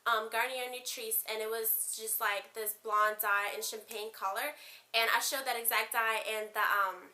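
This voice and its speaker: A woman's voice: a little high, steady tone, moderate pace, sharp tone. A young woman from Ukraine with a Ukrainian accent, first language Spanish, chatting casually to camera as a beauty vlogger, rambling with lots of "like" and "um".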